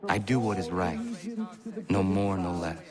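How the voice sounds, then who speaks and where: A man playing an earnest, principled young warrior in a video game, speaking formally and gravely. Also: deep voice, fairly even intonation, measured pace, a crowd in the background. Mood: solemn conviction. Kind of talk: dramatic video game acting.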